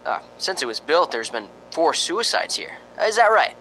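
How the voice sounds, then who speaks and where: An actor playing a chatty, slightly nervous ghost-hunting radio host in a radio drama, hesitant and conversational. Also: male voice, fairly high pitch, sing-song, moderate pace, bright, forceful tone, a little hiss or hum, poor audio. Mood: genial, informal. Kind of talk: radio drama acting, on-air commentary.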